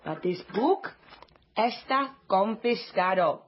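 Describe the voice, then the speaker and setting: A woman's voice: medium pitch, expressive, takes it slowly, noisy background. A woman playing a bossy, flirtatious waitress in a radio drama, with a Latin American Spanish accent and brash, coaxing delivery sprinkled with Spanish. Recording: slightly dull, lo-fi sound.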